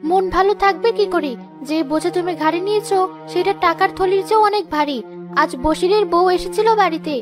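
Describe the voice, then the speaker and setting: A woman's voice: very high-pitched, brisk, music in the background. A woman voicing a weary, worried wife in a cartoon folk tale, reproachful and plaintive. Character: weary, worried wife of a poor honest porter. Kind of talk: animated folk-tale dialogue.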